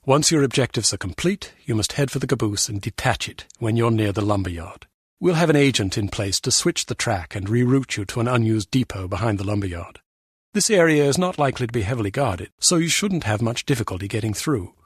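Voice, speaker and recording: An actor voicing a dour, critical intelligence official in a video game, with a clipped, formal manner. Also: male voice, mid-range voice, expressive, brisk, slightly rough, no room sound, studio quality. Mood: businesslike. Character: dour, critical male British intelligence bureaucrat. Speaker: intelligence official giving briefings.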